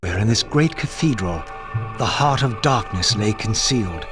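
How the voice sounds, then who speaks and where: An actor playing a bitter, brooding undead wraith in a video game, with grave, theatrical, deliberate phrasing. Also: male voice, lowish voice, animated, close to an everyday voice. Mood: brooding, reflective. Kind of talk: dramatic video game dialogue and monologue. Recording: music behind the voice.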